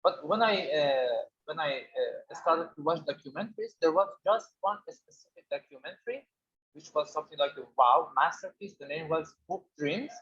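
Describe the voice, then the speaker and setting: A man's voice: a little high, slightly rough. A man whose first language is Farsi, a documentary filmmaker speaking hesitantly with many fillers and restarts in a festival interview. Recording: dull lo-fi audio.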